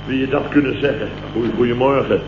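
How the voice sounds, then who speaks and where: An old, hissy and muffled radio comedy recording with an echoey room. A man acts out a sleep-starved Dutch company boss at a middling pitch. He rambles and repeats himself, blustering and full of self-pity. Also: steady tone, brisk, natural voice. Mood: weary, indignant. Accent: Dutch, from the Netherlands. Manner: rambling, repetitive, exclamatory grumbling. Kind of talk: late 1960s comic radio play acting. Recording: dull, noisy, roomy old radio recording.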